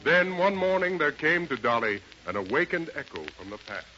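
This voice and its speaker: A man narrating a 1930s radio drama in a measured, dramatic storytelling manner. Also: on the high side, very animated, bright, forceful tone, soft background music. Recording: muffled 1930s radio recording.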